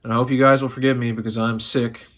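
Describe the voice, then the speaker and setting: A young man who is sick and slightly hoarse, talking loosely and profanely to camera from his living room after a few drinks. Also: medium pitch, little pitch movement, fast, fairly bright tone. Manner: profane, loose and chatty, a bit tipsy. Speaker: music vlogger. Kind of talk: casual rambling talk to camera.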